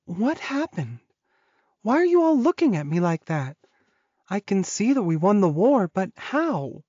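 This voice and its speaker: A man puts on an anxious young werewolf heroine from a romance audiobook. The voice is pitched well up and exclaims with raw emotion. The sound is dry and slightly dull. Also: very animated, a little slow, mellow, no echo, heavily acted. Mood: alarmed. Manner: high-pitched, exclaiming, distressed.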